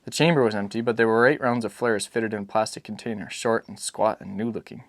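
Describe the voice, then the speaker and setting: A man's voice: fairly low pitch, steady tone, fast talker, no echo, studio quality. A man narrating a literary novel as a dramatic audiobook reading.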